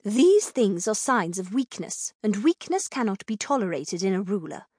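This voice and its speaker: A woman's voice: high voice, sing-song, normal speed, no echo, voice pushed up. A woman playing an emphatic, stern royal lady. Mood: stern, urgent. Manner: commanding, emphatic. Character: stern regal royal woman, protective and dogged.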